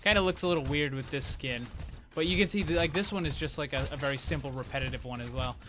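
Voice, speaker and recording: Guy hosting a gaming vid, chatting casually, bright forward voice a bit high, noisy background. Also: quick pace. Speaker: gaming video host. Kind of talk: casual unscripted game commentary. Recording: clear background noise.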